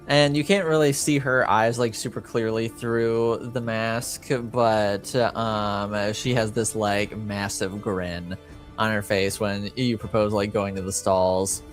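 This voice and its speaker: A man narrating a tabletop roleplaying game as game master, speaking casually and haltingly as he improvises. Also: fairly low pitch, steady tone, soft background music. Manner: halting, hesitant, casual phrasing.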